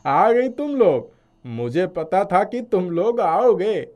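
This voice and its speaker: A performer acts out a proud, stubborn male cloud from a fairy-tale cartoon. The voice swoops widely in pitch and sounds pompous and sure that it is always right. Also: animated, extremely slow, darkish tone, faint music behind. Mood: arrogant. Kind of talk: animated storybook character acting.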